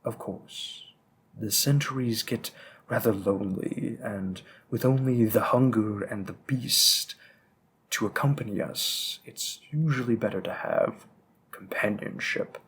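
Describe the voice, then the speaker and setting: A man's voice: lowish voice, measured pace, a bit bright, a little hiss or hum. A man playing a centuries-old vampire, refined, condescending and pedantic, in a roleplay monologue spoken straight to the listener. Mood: musing, condescending. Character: centuries-old male vampire, refined, menacing, protective husband.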